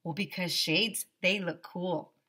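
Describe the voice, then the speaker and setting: A woman's voice: on the low side, fairly even intonation, slow pace, bright, forceful tone, husky, heavily acted. A woman voicing a goofy young schoolboy who wants to seem cool, speaking in an emphatic, matter-of-fact way. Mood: self-assured.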